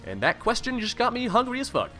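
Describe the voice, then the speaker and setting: Young male YouTuber, bright tone, laid-back and jokey, swears casually, light music bed. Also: very high-pitched, wildly swooping pitch, fast talker. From California. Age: young adult. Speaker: YouTuber answering viewer questions. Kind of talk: casual Q&A voice-over. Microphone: home recording mic, no webcam.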